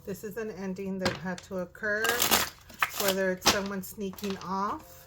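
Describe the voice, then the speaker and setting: A hoarse woman reading tarot cards aloud, thinking out loud and often asking "right?". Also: medium pitch, little pitch movement, a little slow, heavy background noise. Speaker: tarot reader. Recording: music in the background.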